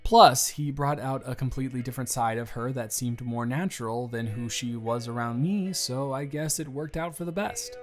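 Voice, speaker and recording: A man's voice: mid-range voice, fairly even intonation, normal speed, hardly any pauses. A man acting in an intimate audio roleplay as an earnest, conflicted boss, talking in a confiding, hesitant, conversational way. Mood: earnest, reflective. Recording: music behind the voice.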